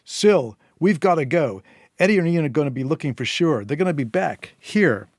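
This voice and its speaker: A man's voice: on the high side, expressive, normal speed, no room sound, studio quality, pitched above the performer's own voice. A man voicing a wounded, worried male intruder in a story, speaking urgently.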